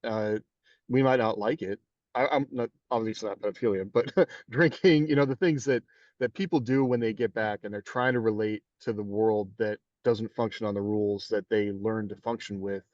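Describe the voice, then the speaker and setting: A man's voice: on the low side, moderate pace, darkish tone, dry recording. Podcast co-host, rambling man, lo-fi sound from far off the mic. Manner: rambling, many fillers and restarts. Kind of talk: casual panel discussion. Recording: dull distant dry mic.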